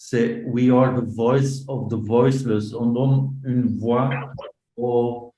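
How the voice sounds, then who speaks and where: Slow halting man with Flemish accent. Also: mid-range voice, fairly even intonation, warm tone, reverberant, low-quality recording. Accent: Flemish Dutch. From northern Belgium. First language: Dutch. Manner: halting, many fillers and restarts. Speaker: association representative. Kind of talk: conference talk.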